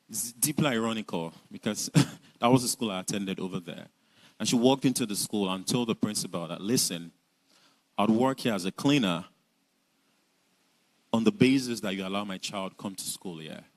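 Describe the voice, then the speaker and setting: A man's voice: lowish voice, moderate pace, long pauses, rough recording. A young Nigerian man with a Nigerian accent, telling his life story in an earnest, reflective way. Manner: earnest, reflective, with light laughs. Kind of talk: personal storytelling talk.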